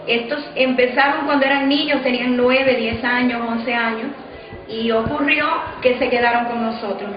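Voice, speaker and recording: Woman reminiscing in a muffled, echoey room. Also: on the high side. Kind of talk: interview recollection for a documentary. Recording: roomy, muffled sound, murmur behind.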